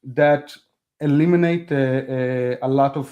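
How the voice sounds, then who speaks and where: Mellow-voiced man, Hebrew his first language. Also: mid-range voice, steady tone, dull audio. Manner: halting, restarts and repeats, non-native phrasing. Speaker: podcast guest. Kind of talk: casual interview talk.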